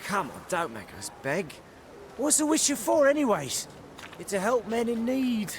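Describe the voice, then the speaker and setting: A man's voice: sits high, big pitch swings, moderate pace, a little hiss or hum. An actor playing a cocky male thug who needles a stranger for coin. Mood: wheedling. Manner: wheedling, then menacing.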